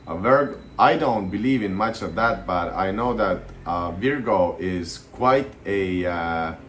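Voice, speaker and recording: A man in his thirties from Estonia talks loosely to the camera, pausing on fillers as he goes. His voice sits very low. A faint noise hums behind him. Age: 30s. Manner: relaxed, hesitant with many fillers. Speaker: vlogger. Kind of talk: casual to-camera chat.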